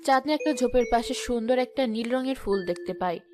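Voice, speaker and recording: A woman's voice: a little high, quick pace, mellow, slight background noise. A woman reading scripted storytelling narration as the narrator of a fairy tale.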